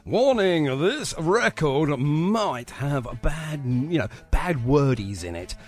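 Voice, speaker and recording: Bloke hosting a chatty radio interview, keen, really lively up and down voice, bit of music faintly there. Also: male voice, fairly high pitch, slow pace. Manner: enthusiastic, chatty.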